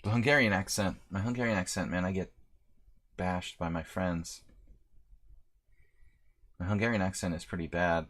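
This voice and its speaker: Low-ish voiced guy streaming chess, joking at himself, muttering through moves. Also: steady tone, moderate pace, long pauses, fairly bright tone. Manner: chatty, self-deprecating, thinking aloud over moves. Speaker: chess streamer playing a simul. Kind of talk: live-stream commentary.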